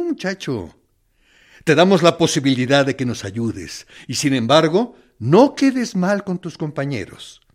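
A man acting a radio drama monologue as a menacing interrogator who feigns reason and kindness, insinuating and mock-courteous. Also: sits high. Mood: mock-kind, coaxing.

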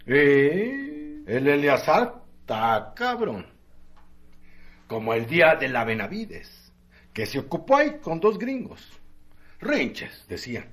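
A man's voice: on the high side, wildly swooping pitch, fairly bright tone, heavily acted. An actor playing an earthy Mexican barroom man who tells admiring yarns in salty, colloquial radio drama style. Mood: indignant, gossipy. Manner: colloquial, salty, drawn-out storytelling.

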